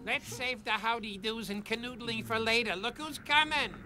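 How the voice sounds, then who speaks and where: Woman voicing a cocky high-pitched cartoon scorpion sidekick. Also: moderate pace, over music, natural voice. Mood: wisecracking. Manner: folksy drawling slang. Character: small cocky folksy scorpion sidekick, wisecracking. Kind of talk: animated adventure series acting.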